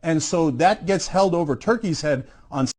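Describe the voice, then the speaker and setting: A man's voice: a little high, lively intonation, normal speed, a little mellow. A man hosting a political show, arguing in a heated debate with many hesitant fillers.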